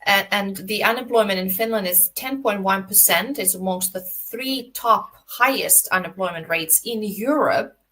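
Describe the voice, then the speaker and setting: A woman's voice: medium pitch, moderate pace, few pauses, pushed and bright, a little hiss or hum. A Greek-born woman hosting a video podcast on a call, talking passionately in long run-on stretches. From Greece. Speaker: podcast host. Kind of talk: casual interview chat.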